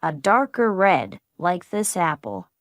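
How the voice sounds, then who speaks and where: A woman reading a friendly everyday speaker in a slow, carefully spaced practice dialogue for English learners. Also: fairly low pitch, very animated, dry recording. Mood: plain.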